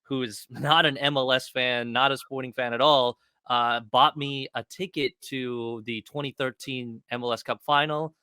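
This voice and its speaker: Bright-voiced man, animated and slangy. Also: mid-range voice, moderate pace, hardly any pauses, clear, resonant tone, no room sound. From Argentina. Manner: animated, slangy, self-deprecating. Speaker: guest, soccer content creator and fan streamer. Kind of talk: casual chat.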